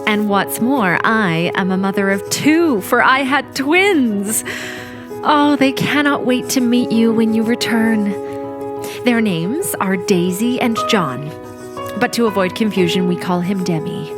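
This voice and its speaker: A woman's voice: a little high, expressive, measured pace. A woman playing an affectionate, earnest young wife and mother, reading a letter in a period audio drama. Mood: proud, happy. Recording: loud music behind the voice.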